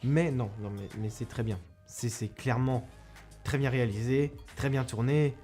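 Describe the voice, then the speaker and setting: A man's voice: medium pitch, moderate pace, dark tone, soft background music. A young man giving a casual spoken film review, rambling and self-mocking with many restarts, close to a microphone.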